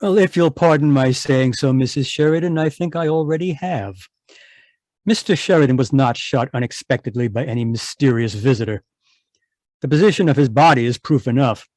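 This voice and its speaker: A man playing a calm, shrewd police inspector in a radio mystery, with a polite, measured manner. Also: medium pitch, no echo, natural voice. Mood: firm, pointed. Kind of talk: 1940s radio mystery acting.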